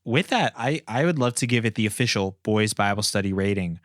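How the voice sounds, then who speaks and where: A man with a fairly low voice hosts a casual podcast. His delivery is playful and joking, and the recording is close and dry with no room sound. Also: animated, a little fast, a bit bright, no room sound. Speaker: podcast host.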